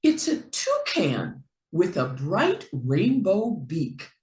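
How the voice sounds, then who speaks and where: A woman reads aloud for young children with hugely swooping intonation at a very unhurried pace. Her voice sits a little low, and she shapes phrases expressively like a teacher. The room adds some echo. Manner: expressive, deliberate, with playful animal sounds. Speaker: children's book reader and online teacher. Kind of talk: slow animated read-aloud for young children.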